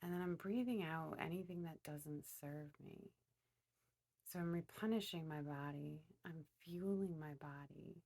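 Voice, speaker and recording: A woman leading a guided meditation, speaking to her listeners in a deliberate way with pauses in mid-phrase. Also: low-pitched, darkish tone.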